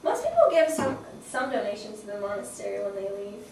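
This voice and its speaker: A young woman acting in an amateur school drama, chatty and lively. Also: medium pitch, brisk. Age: young adult. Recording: echoey room from far off, noisy.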